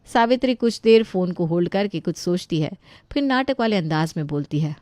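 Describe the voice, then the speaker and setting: A woman's voice: on the low side, expressive, a little fast, a little mellow, no echo. A woman narrating a scripted Hindi story as the storyteller.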